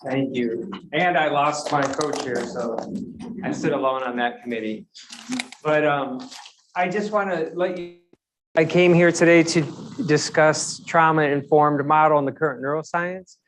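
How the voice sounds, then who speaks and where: A man's voice: a little high, measured pace, background noise. A male physician speaking informally to a public commission, conversational with frequent fillers and repeated words. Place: meeting room.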